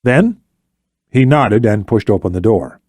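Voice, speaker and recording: A man's voice: lowish voice, animated, measured pace, darkish tone, no room sound, studio quality. A man reading scripted thriller narration as an audiobook narrator.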